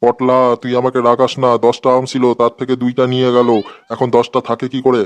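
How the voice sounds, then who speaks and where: A man's voice: medium pitch, completely flat delivery, fairly quick, natural voice. A man voicing a sarcastic, exasperated schoolteacher scolding pupils in a comedy cartoon.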